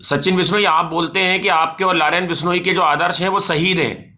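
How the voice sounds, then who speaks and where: A man working as a news interviewer, putting halting, insistent questions over a phone call. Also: sits high, fairly even intonation, bright, forceful tone.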